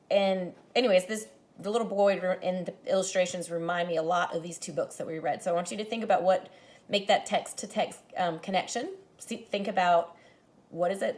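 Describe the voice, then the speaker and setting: A woman teacher reading a picture book aloud to children, expressive and dramatic, speaking to them directly. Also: medium pitch. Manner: animated, dramatizes the story lines, addresses children directly. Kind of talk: expressive children's read-aloud with explanatory asides.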